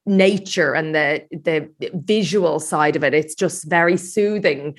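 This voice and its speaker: A woman's voice: low-pitched, a little slow, slightly rough. An Irish woman guest in casual chat, talking freely with frequent restarts and laughs. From Ireland.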